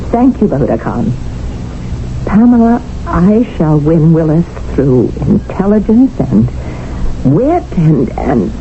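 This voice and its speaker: An actress playing a prim, earnest English spinster in colonial India who talks in a stiff, precise way. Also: female voice, medium pitch. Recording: dull 1970s radio broadcast with faint noise.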